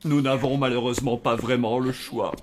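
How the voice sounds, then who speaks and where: A man voicing an excitable, fussy zombie father who runs a hotel, in a theatrical and proper manner for a French cartoon. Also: mid-range voice, normal speed, lightly acted. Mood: worried. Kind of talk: French animated cartoon dubbing.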